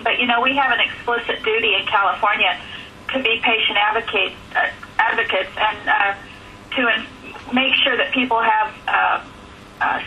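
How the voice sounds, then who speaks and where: A woman speaking earnestly and haltingly as a nurses' advocate on a press teleconference. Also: a little high. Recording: noisy telephone conference line.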